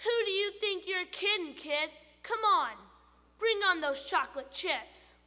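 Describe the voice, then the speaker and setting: Brash, squeaky sweet tooth, put on by a woman, loud and lively, storybook, echoey room. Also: unhurried, exaggerated voice. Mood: pushy. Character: greedy pushy talking sweet tooth, male.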